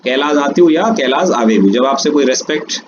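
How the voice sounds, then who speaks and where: A man teaching a French lesson, explaining slowly and drawing words out. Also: medium pitch. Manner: slow, drawn-out explanations mixing Hindi and English. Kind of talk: online language lesson. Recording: dull lo-fi sound with steady background noise.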